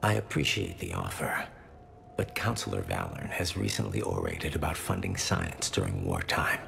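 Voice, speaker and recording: Very deep, solemn young alien. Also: male voice, wildly swooping pitch, background noise, exaggerated voice. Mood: courteous, subdued. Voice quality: gravelly, very low voice. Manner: formal, halting, solemn. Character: grieving young male alien, solemn, courteous, reformed son. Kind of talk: video game cutscene acting.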